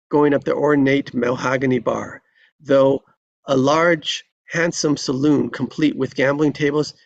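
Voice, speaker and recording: A man's voice: mid-range voice, creaky, highs cut off. A man reading stage directions as narrator in a live screenplay table read.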